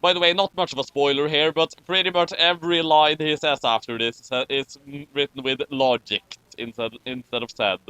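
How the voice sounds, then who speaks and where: A man's voice: fairly high pitch, steady tone, fairly quick, few pauses, pushed and bright, clear, resonant tone, no echo, poor audio. A man from Norway doing casual, joking commentary on a fan fiction readthrough over an online voice call. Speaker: commentator reading aloud.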